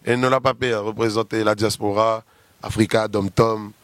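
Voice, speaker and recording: A man's voice: fairly low pitch, level tone, normal speed, a bit bright, no room sound. A man in his thirties from Réunion with a Réunionese French accent, chatting casually as a guest artist and rambling through long lists with many fillers. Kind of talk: casual interview chat.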